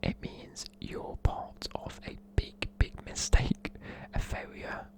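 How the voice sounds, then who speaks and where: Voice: slow, darkish tone. A person with a British accent whispering informal, chatty, matey explanations in an ASMR style. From United Kingdom. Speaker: presenter explaining slang.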